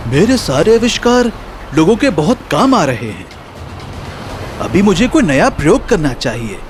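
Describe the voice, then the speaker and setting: A man voicing an earnest, determined inventor hero in an animated children's story, speaking with resolve. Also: on the high side, normal speed, a little hiss or hum. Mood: thoughtful.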